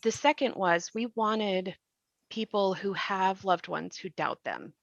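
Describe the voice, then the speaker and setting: A woman's voice: medium pitch, takes it slowly, vocal fry, no echo, highs cut off. A woman in an earnest explanatory discussion, emphatic and given to rhetorical questions. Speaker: podcast creator and actor discussing the show.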